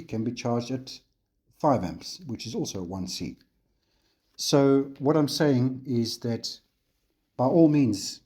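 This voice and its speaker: A South African man talks through a tutorial on camera at home, unhurried and relaxed, pausing often on ums and uhs. His voice is dark and mellow at a middling pitch. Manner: relaxed, unhurried, frequent fillers. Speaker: RC hobby channel host. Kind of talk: casual tutorial walkthrough on camera.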